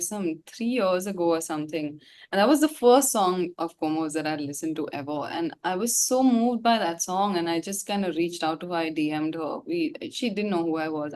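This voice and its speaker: A young adult woman, speaking casually and reflectively as an interview guest, with informal, slangy asides. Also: medium pitch. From India.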